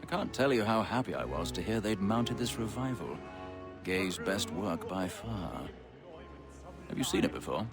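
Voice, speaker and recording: An actor playing a polished, scheming gentleman who addresses others with conspiratorial enthusiasm. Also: sits low, animated, moderate pace, music in the background. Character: genteel, scheming adult male society leader. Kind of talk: video game cutscene acting.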